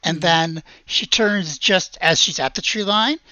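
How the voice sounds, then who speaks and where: A woman's voice: fairly low pitch, measured pace, bright, forceful tone, dry recording. A woman casually narrating a tabletop game as game master, in a hesitant, matter-of-fact way. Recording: dull, lo-fi dry audio.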